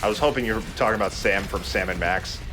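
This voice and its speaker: A man's voice: lowish voice, quick pace, over music. A man hosting a let's play with laid-back, rambling, casual gameplay commentary.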